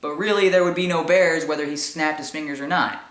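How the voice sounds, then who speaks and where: A man with a high-pitched voice giving informal opinion commentary in an explanatory, argumentative way with emphatic repetition. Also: fairly even intonation, brisk, fairly bright tone. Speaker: commentary show host. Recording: echoey room sound.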